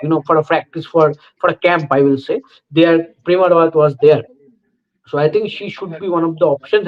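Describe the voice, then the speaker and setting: A man argues his view as a cricket analyst in a live chat, earnest and repetitive. His voice sits a little high for a man. The stream sound is dull and lo-fi. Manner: earnest, builds points with "you know" and "I think".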